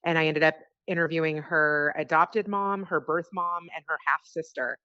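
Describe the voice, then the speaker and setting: A woman's voice: low-pitched, fairly even intonation, normal speed, bright, forceful tone, dry recording. An American woman in middle age, a podcast guest talking casually and chattily over the phone. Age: 40s to 50s. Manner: chatty, informal. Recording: muffled phone call, dry.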